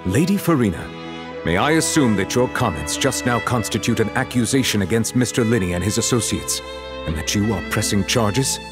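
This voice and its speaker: A man playing a composed, authoritative official in a video game, speaking in a formal, measured manner. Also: lowish voice, lively intonation, music in the background, close to an everyday voice. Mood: firm, formal. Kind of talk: dramatic video game acting.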